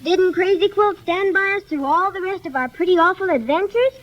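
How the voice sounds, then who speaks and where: A woman playing a sweet, sensible little girl who speaks earnestly and chides gently in a 1930s children's radio serial. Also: very high-pitched, fairly quick, highs cut off. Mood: earnest, bright.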